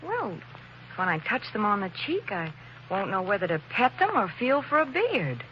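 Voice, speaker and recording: An actress playing a quick-witted female companion in a 1940s radio drama, anxious at times and wry at others. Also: mid-range voice, very animated, muffled recording, natural voice. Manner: anxious then wry and playful.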